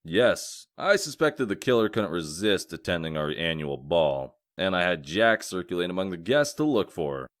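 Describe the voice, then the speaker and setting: A man playing a gruff, dry detective cop in a comic dub, speaking in a measured, wry way. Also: deep voice, sing-song, fairly bright tone, no echo. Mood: assured, showman-like. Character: gruff male wolf detective cop, dry, theatrical about revealing culprits.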